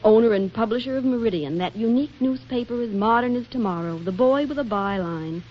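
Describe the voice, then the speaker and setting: An actress playing a flippant, scheming, exasperated wife in a 1940s radio comedy, delivering wisecracks with arch drama. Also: female voice, on the high side, brisk, natural voice. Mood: wry exasperation. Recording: dull, faintly noisy 1940s radio recording.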